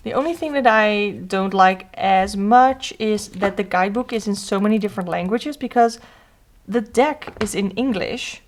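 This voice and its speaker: A woman's voice: mid-range voice, moderate pace. Chatty woman tarot reader. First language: Dutch. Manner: chatty, thinking aloud with frequent "um" and "uh". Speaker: tarot reader, channel host. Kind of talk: casual deck flip-through vlog.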